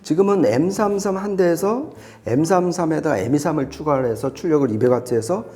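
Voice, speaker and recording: A man's voice: fairly high pitch, a little fast, mellow, soft background music. A man reviewing audio gear, explaining it conversationally to a co-presenter.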